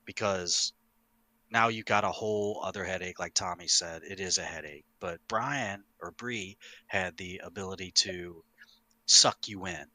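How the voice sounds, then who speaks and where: A middle-aged man talks as a podcast host with a low, very forceful, bright voice. He explains things emphatically and casually, recorded close and dry. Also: measured pace, no echo. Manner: emphatic, sweary, animated explainer. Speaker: podcast host, longtime game master.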